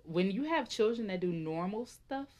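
A woman's voice: medium pitch, normal speed. A woman in her thirties with a stuffy head cold, co-hosting a casual podcast chat and often laughing as she tells stories. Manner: chatty, laughs often, animated retelling. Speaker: podcast co-host.

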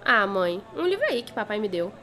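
A woman's voice: medium pitch, very animated, fairly quick, sharp tone, a little hiss or hum. A woman playing a sly, evasive young schoolgirl making casual excuses in a radio drama, with a Brazilian accent. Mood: grudging.

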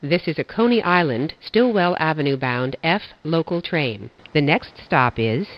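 Robotic-sounding train announcer, flat steady delivery, kinda dull lo-fi audio. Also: medium pitch, dry recording. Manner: flat, measured, evenly paced phrasing. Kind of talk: automated transit announcements.

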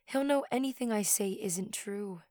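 A woman's voice: medium pitch, normal speed, mellow, no echo, studio quality, natural voice. A woman playing an anxious, self-doubting teenage girl in an audio drama. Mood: worried.

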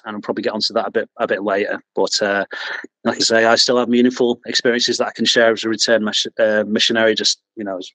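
A man's voice: fairly low pitch, a bit bright, dry recording, low-quality recording. A man with a Mancunian accent, chatting as a podcast guest, hesitant with lots of ums and restarts, chuckling at himself. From England. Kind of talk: casual interview chat.

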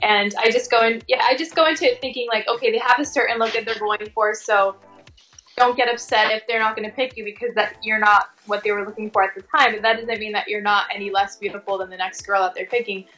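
A woman's voice: mid-range voice, steady tone, fast talker, pushed and bright, soft background music, rough recording. A woman chatting casually as an interview guest, informal and sprinkled with "like" and "you know". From Tongan American. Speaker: interview guest, working fashion and commercial model.

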